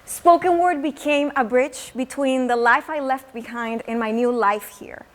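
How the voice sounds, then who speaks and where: A woman from Mexico with a Mexican accent, performing spoken word poetry and teaching how it is made. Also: high voice. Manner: slow, measured poetic delivery with Spanish words. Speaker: teaching artist.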